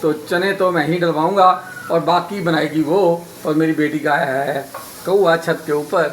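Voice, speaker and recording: A hoarse man with fever and a sore throat narrating a casual cooking vlog in his home kitchen, chatty and talking to viewers as brothers. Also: fairly high pitch, steady tone, unhurried. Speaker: vlogger. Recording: noisy home video.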